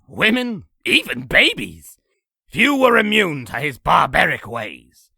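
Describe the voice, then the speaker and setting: A man playing a sinister, boastful old demon in a comic dub, telling tales in a raspy, gravelly, theatrical voice. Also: fairly high pitch, bright, forceful tone, dry recording, studio quality, full character voice. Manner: theatrical, grandiose storytelling. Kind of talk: comic dub villain acting.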